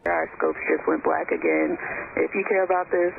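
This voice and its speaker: A dull, noisy radio transmission carries a male air traffic controller. His voice is extremely gravelly and slightly high. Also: wildly swooping pitch, moderate pace.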